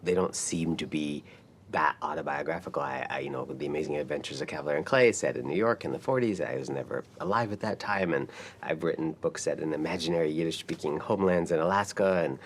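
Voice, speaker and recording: A man speaking as a novelist in a measured, reflective way. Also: very deep voice, vocal fry, slight background noise. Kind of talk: reflective interview and reading from own prose.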